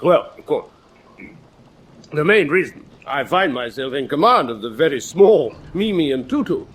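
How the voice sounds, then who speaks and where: An actor playing a pompous, boastful middle-aged British naval commander in a radio drama, with theatrical, self-satisfied storytelling. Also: male voice, on the high side, big pitch swings, moderate pace, a little hiss or hum. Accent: British English.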